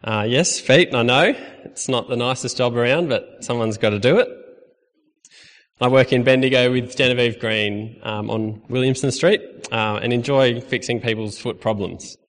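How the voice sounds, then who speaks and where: A man's voice: mid-range voice, moderate pace, fairly bright tone. Young Australian man preaching in a hall. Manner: friendly, conversational preaching with light humor. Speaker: lay preacher. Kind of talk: sermon. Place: church hall.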